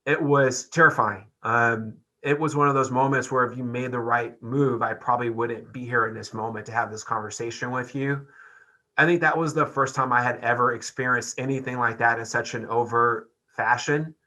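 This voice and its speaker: A man with a bright, forceful voice of medium pitch talks as a guest in a podcast discussion. He reflects on personal experience deliberately and emphatically, addressing the listener directly. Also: fairly even intonation. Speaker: panel guest.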